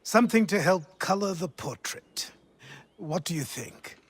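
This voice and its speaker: An actor playing a dry, kindly old mentor in a video game, with wordy, erudite phrasing. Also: male voice, high-pitched, animated, a little slow, husky, heavily acted. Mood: dry, thoughtful. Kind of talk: dramatic video game acting.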